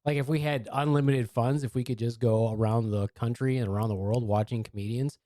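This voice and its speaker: A man's voice: mid-range voice, fairly even intonation, brisk, dark tone, dry recording, studio quality. A man hosting a podcast, chatting casually and teasing his co-host in a rambling way.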